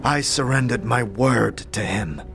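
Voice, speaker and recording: A man voicing a weary, solemn warrior enslaved by his oath, speaking in grave, formal, halting phrases for an audio drama. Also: mid-range voice, animated, a bit bright, faint music behind, voice pushed up. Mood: grave, sorrowful.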